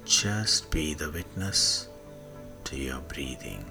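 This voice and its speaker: A man with an extremely deep voice guides a sleep meditation very slowly. His delivery is hushed, with long pauses and a gravelly fry in the low notes. Phrases often trail off into breath. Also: big pitch swings. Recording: soft music behind the voice. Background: soft music.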